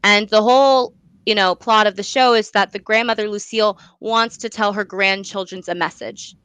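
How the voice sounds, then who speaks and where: A woman's voice: medium pitch, steady tone, moderate pace, fairly bright tone, clean tone, no echo. A young woman speaking as an interview guest, earnest and effusive about her own work. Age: young adult. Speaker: guest, student playwright. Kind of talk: enthusiastic interview talk.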